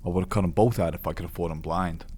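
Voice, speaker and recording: A man's voice: low voice, steady tone, fast talker, a little mellow, husky, pitched below the performer's own voice. A man playing a menacing, solemn and proud gang boss with a New York accent in a film-style monologue. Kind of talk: film monologue for a character demo reel.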